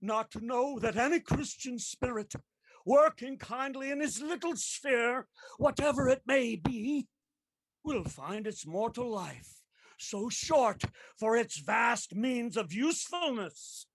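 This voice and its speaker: An actor playing the tormented, remorseful ghost of a dead miser in a radio play, declaiming mournfully with moaning, drawn-out cries. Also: male voice, very high voice, expressive, exaggerated voice, poor audio. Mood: anguished remorse. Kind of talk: theatrical radio play acting.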